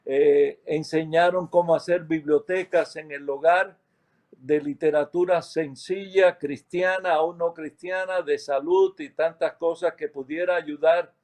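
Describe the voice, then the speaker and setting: A man with a Cuban accent tells a church story at an unhurried pace, his voice sitting a little high for a man. He stops often to search for words and fix what he just said, like someone sharing a personal history. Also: fairly even intonation, a little mellow. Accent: Cuban Spanish. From Cuba. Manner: unhurried, with many hesitations and self-corrections. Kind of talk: slow, reflective testimony.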